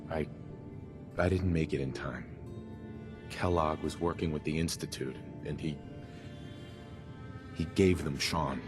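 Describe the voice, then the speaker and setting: A very deep-voiced father speaks earnestly and a little haltingly. He is a grieving, determined survivor in a video game scene, with music behind him. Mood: anguished. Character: grieving, determined father searching for his son. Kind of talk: dialogue acting in a video game.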